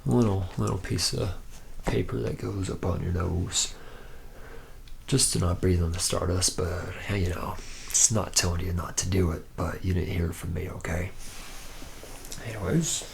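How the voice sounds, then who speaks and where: Man playing a deep, gravelly, jaded factory slacker. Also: fairly even intonation, moderate pace, slight background noise. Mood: laid-back, sly. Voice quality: gravelly, low, partly whispered. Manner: rambling, hesitant drawl with sardonic asides. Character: jaded, boozy, sleazy factory worker training a recruit. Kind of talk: ASMR roleplay.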